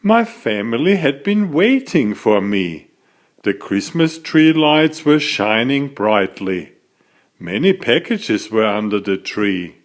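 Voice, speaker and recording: Slow, very animated male storybook reader. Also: mid-range voice. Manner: deliberate, dramatic storytelling. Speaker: children's book author reading aloud. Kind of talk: expressive scripted storybook narration.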